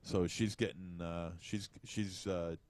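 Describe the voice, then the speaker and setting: Low-pitched man, halting podcast chat. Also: steady tone, a little mellow. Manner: halting, hesitant delivery. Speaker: podcast co-host. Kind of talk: casual movie review chat.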